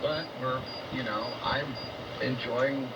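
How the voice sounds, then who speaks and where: An American man giving a rambling, off-the-cuff radio monologue as a host, halting, repetitive, grandiose and coarse-spoken, over shortwave radio. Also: medium pitch, steady tone. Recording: muffled, very noisy distant shortwave radio broadcast.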